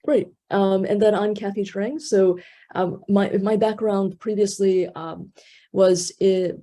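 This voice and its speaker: A woman's voice: mid-range voice, steady tone, slow pace, mellow. A woman in her thirties moderating an online panel, speaking with many fillers and restarts.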